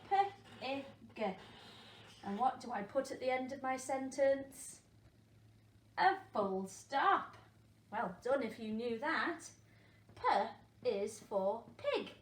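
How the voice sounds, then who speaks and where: A woman teaches early phonics to small children at a very unhurried pace. Her voice sits fairly high, and she encourages her pupils with simple questions and waits for them to answer. The room is noticeably echoey. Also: animated. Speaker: primary school teacher. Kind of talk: interactive phonics lesson for young children. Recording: echoey room with faint background noise.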